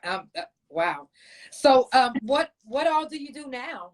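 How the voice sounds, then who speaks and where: A woman hosts a relaxed chat podcast with a fairly high-pitched voice. She talks casually, with slang and plenty of affirming replies to her guest. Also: unhurried, rough recording. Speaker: podcast host.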